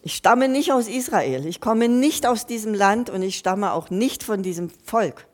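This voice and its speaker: Slow-speaking woman preaching earnestly. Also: medium pitch, animated. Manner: earnest, personal, addressing listeners directly; restarts and repeats phrases while thinking aloud. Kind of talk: sermon.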